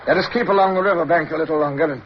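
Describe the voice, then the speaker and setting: An actor playing a resolute naval officer in a radio drama, commanding yet tender, crisp and formal as he tells of his war. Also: fairly high pitch, very fast, lightly acted. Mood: urgent. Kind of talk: radio drama acting and first-person narration. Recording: muffled, noisy old radio recording.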